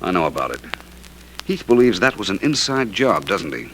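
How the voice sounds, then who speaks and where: A man playing a suave, unruffled gentleman detective in a 1940s radio drama, speaking in an urbane, dry, unhurried way. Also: low-pitched, sing-song. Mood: coolly probing. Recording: slightly noisy vintage radio recording.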